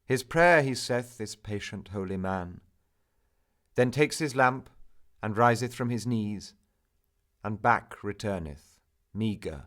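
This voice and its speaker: Slow, low-voiced man reciting poetry. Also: fairly bright tone. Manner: solemn, theatrical, measured delivery with drawn-out phrases. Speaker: poetry reader. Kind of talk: dramatic recitation of a narrative poem.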